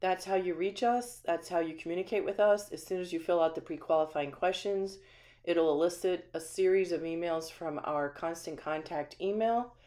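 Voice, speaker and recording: A woman with a low voice gives a friendly home tour, talking casually and often pausing with ums. She walks through a small empty house, the camera held in hand. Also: warm tone. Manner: friendly, chatty, frequent ums. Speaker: manufactured home community manager. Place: empty small house, indoors, then voice-over.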